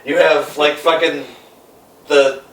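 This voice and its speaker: A man in a casual, opinionated group debate, speaking in a halting, repetitive way with some swearing. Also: on the high side, flat delivery, fairly bright tone. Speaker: panelist on a film ranking show. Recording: echoey room, distant mic, faint noise.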